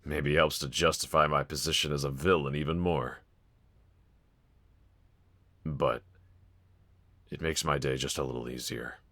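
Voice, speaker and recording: A man's voice: extremely low pitch, steady tone, a little slow, long pauses, a bit bright, exaggerated voice. A man playing a brooding young villain roommate in an intimate audio roleplay, confiding hesitantly and wryly to one listener. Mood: bitter, confessional. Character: brooding young male villain roommate, self-loathing but softly grateful.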